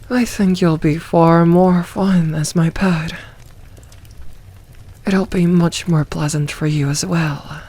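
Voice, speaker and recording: A woman voicing a possessive, teasing succubus demoness in a soft ASMR roleplay, coaxing and soothing, with some breathy moments. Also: on the low side, heavily acted.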